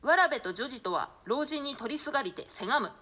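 High, bright-voiced woman narrator. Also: animated, a little fast. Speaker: narrator reading stage directions. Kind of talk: scripted narration of a play.

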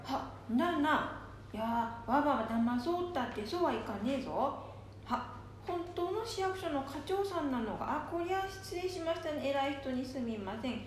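High-voiced gullible old granny, acted by a woman, Nanbu dialect. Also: expressive, moderate pace. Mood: excitable, easily swayed. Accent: Nanbu dialect, Japan. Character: gullible, stubborn, chatty elderly grandmother. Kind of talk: amateur radio drama. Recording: very echoey room, faint noise.

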